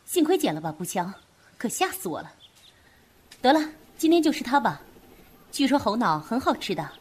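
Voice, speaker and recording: A woman's voice: a little high, sing-song, normal speed, slight background noise. A woman playing a superstitious, jumpy, outspoken grave robber in a wartime audio drama. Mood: relieved, startled. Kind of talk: Chinese audio drama acting.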